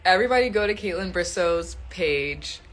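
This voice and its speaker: A woman's voice: on the low side, animated, moderate pace. A woman in her twenties hosting a casual live stream from home, chatty and laughing often as she reads out and answers viewer questions. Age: 20s. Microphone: phone.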